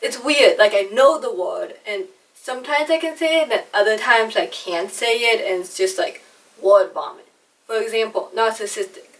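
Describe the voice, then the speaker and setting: A woman's voice: on the high side, normal speed, far from the mic, rough recording. A young woman who was adopted from China and raised in America, talking in a chatty, self-deprecating way about her speech. She speaks with a slight lisp and some trouble with R sounds. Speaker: vlogger. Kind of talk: personal storytelling vlog.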